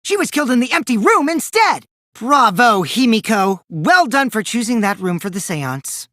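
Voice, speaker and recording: A taunting, mischievous teenage boy from an anime video game. His pitch leaps around as he swings theatrically from gleeful mockery to cold remarks. The recording is dry, with no room sound. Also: sing-song, moderate pace, bright, forceful tone, dry recording, full character voice. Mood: mocking, excited.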